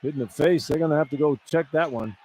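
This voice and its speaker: Conversational male color analyst, slightly high voice. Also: fast, dark tone, rough recording. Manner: conversational, explanatory, repeats himself. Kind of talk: live radio basketball color commentary. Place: college basketball arena. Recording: arena noise behind live broadcast. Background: arena ambience.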